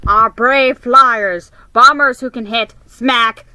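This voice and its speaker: A woman voicing a mischievous boy who imitates a booming wartime radio announcer in a loud, dramatic, emphatic delivery. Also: slow, slight background noise, heavily acted, poor audio. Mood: bombastic, playful.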